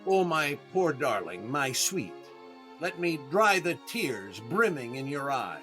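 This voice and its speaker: An actor playing a vain, hapless comedian in 1930s-style radio comedy, with a deliberate, indignant delivery and dramatic pauses. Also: male voice, on the high side, big pitch swings, bright, forceful tone, soft background music, full character voice. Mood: mock romantic. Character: vain, hapless comedian desperate to prove his sex appeal.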